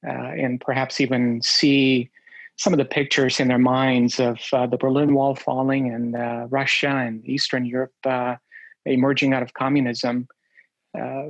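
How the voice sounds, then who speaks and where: A middle-aged man from Romania tells his story as an interview guest. He talks at an ordinary pitch, pausing often and filling gaps with uh and um. The sound is dry and slightly dull. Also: no room sound. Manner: halting, many uh and um fillers.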